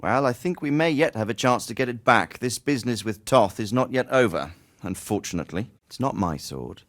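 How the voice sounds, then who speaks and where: A man acting a middle-aged medieval nobleman in a video game, worldly and fatherly, with a measured, formal and reflective manner. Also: mid-range voice, lively intonation, fairly bright tone, no room sound. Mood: reflective, subdued.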